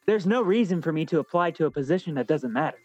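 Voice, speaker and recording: Higher-voiced, officious job applicant. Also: male voice, animated, quick pace, a little mellow, dry recording, muffled recording, soft background music. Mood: pointed, skeptical. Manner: formal, pointed, stammering when bluffing. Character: officious, status-minded, evasive male job applicant. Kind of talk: naturalistic comedic audio drama acting.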